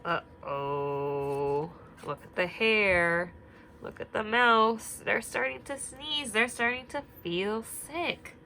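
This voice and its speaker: Young woman teacher doing storytime, bright clear voice, lots of questions for the kids, faint hiss behind. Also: mid-range voice, slow pace. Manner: gentle questioning, asks children many questions; drops to a whisper at quiet parts. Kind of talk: reading a picture book aloud to children.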